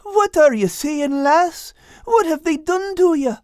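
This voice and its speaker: Squeaky, flustered little tomcat. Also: animated, slow pace, dry recording, studio quality, full character voice. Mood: confused. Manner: stammering, exclamatory, folksy dialect wording. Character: small, excitable, nervous male cat, comically flustered. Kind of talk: children's storybook character voice.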